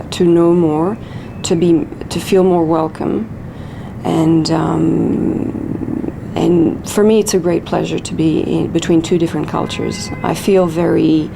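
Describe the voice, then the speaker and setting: A woman giving earnest, halting interview answers with fillers. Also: lowish voice, vocal fry. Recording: noisy recording.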